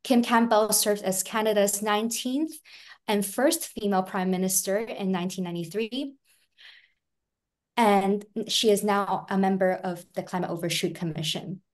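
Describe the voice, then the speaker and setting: A young woman from Hong Kong moderates a panel on a video call. She reads her prepared introduction at a measured pace. Her voice comes through a webcam mic. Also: medium pitch, fairly even intonation. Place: indoors at home, video call.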